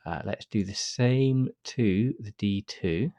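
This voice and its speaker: A man with a cold and a stuffy nose, calmly talking through a software tutorial step by step with frequent uh fillers. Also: fairly low pitch, slow pace, no room sound, studio quality. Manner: relaxed step-by-step explaining with many uh fillers. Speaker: astrophotography tutor. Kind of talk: screen-recorded software tutorial.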